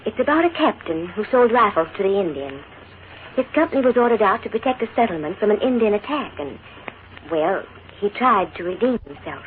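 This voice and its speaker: A woman's voice: medium pitch, big pitch swings, close to an everyday voice. An actress as an earnest young woman on tinny old radio. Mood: tender, earnest. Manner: soft-hearted, sincere, dramatic pauses. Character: gentle, earnest young woman, a colonel's daughter. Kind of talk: 1940s radio western acting. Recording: tinny 1940s radio broadcast, faint noise.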